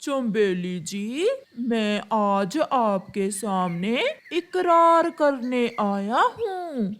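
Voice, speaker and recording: A woman voicing a lovestruck, scheming male crow in a cartoon story, with slow, pleading, melodramatic delivery. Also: a little high, animated, fairly bright tone, exaggerated voice. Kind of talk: Hindi cartoon storybook acting.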